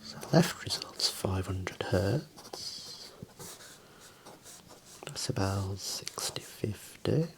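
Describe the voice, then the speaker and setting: A man whispering slow, gentle instructions in an ASMR medical exam role play, softly praising between steps. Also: low-pitched, lively intonation, creaky. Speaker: ASMR creator playing a clinician.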